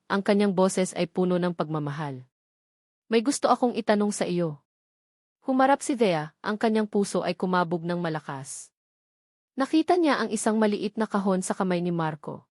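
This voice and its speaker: A woman's voice: medium pitch, a little mellow, no echo. Woman narrating in a dry studio sound. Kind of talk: scripted romance story narration.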